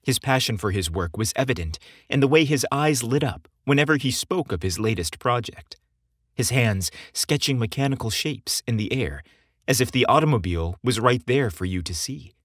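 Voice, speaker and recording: A man hosting and narrating a scripted story podcast in a theatrical, expressive storytelling style. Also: mid-range voice, moderate pace, no room sound, clean studio sound. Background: music behind the voice.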